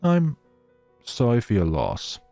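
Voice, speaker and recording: A man's voice: mid-range voice, huge pitch swings, slow pace, vocal fry, dark tone, no echo, faint music behind, exaggerated voice. A man voicing a polite, reserved young woman in a visual novel, courteous and matter-of-fact. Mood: apologetic.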